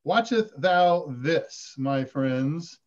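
Older male host, high voice, dull video-call sound, casual Q&A chat. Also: extremely slow. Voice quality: fairly high voice. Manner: relaxed, chatty, reads viewer questions aloud. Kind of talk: live stream Q&A chat. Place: home room with curtains. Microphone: video call webcam.

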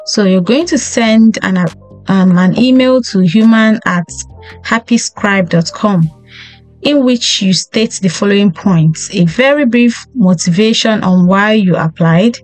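A woman's voice: mid-range voice, a little slow, darkish tone, faint music behind, rough recording. A woman hosting a career tips channel, walking through a job listing on screen and reading its lists aloud with occasional ums and restarts. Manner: reads items in list form, with frequent ums and restarts. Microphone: close desk mic, voice-over on a screen recording.

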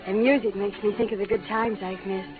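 An actress as a young Eastern bride in an old-time radio western. She is earnest, sheltered and stubborn, with a curious streak. The sound is thin and narrow, with music playing clearly behind her. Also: mid-range voice, close to an everyday voice. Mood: wistful, determined. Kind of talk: 1940s-50s radio western acting.

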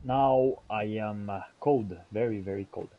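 A man's voice: on the low side, a little slow, highs cut off. A man giving casual commentary while he plays a video game. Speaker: gamer playing and commentating.

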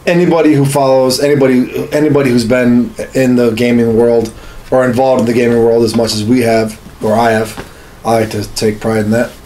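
A man in his thirties chatting informally as a podcast co-host, halting but emphatic, in a small room. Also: medium pitch, little pitch movement, warm tone, slight background noise, poor audio. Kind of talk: casual chat about games and films. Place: small home studio room, indoors.

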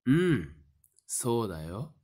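Woman doing smug werewolf schemer in a voice drama, super low n slow, teasing, theatrical. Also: very animated, mellow, husky, exaggerated voice. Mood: calm confession. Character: calm, smiling, taunting mastermind, secretly a werewolf. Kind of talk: audio drama acting.

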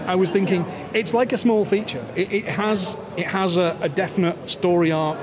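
A man's voice: high-pitched, steady tone, normal speed. Older man interviewing amid crowd chatter. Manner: rambling, many restarts. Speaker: festival interviewer. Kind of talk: casual interview. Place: festival media wall, indoors, busy. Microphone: handheld mic. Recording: noisy crowd around.

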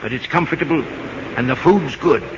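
An actor playing a kindly, rustic seaside friend in an old-time radio drama, speaking in a hesitant, folksy way. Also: male voice, a little high, fairly quick, highs cut off, natural voice. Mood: gentle, hesitant. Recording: noisy vintage radio recording.

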